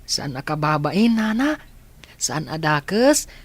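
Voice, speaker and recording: A man's voice: a little high, big pitch swings, takes it slowly. A man playing a cheeky, innocent young boy with an Ilocano accent in an audio drama. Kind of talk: Ilocano radio-style drama acting.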